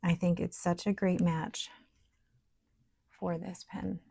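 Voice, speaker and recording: A woman with a scratchy, hoarse voice, chatting casually as a stationery vlogger while she writes and paints. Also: on the low side, takes it slowly. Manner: chatty, relaxed, thinking aloud while working. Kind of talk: casual show-and-tell while writing and painting.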